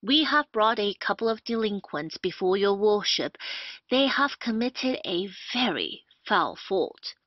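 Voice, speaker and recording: A woman's voice: mid-range voice, big pitch swings, a little slow. A woman playing a vengeful, theatrical male army captain in an old stage comedy, taunting in old-fashioned English. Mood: formal, deferential. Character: vengeful gloating male army captain, first posing as constable. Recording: muffled lo-fi roomy home recording.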